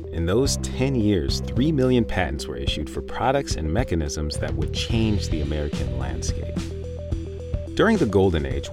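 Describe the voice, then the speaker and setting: Male public radio documentary host, low and expressive, polished storyteller, music underneath. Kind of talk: scripted radio documentary narration. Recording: clear music bed behind voice.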